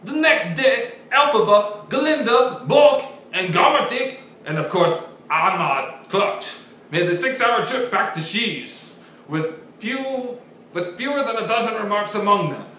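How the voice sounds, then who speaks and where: A man putting on a loud, exaggerated mock Jamaican accent. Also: expressive, a little slow, far from the mic, slight background noise, exaggerated voice. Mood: declaiming. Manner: shouted, overblown, high and loud. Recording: very echoey room.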